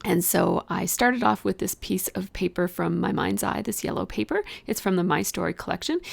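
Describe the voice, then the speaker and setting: Woman, hoarse with a cold, deep vocal fry, low, chatty craft-video narrator, dry close mic. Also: no echo. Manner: chatty, explains each step with many fillers. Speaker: scrapbooking video host. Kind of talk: casual process video voice-over.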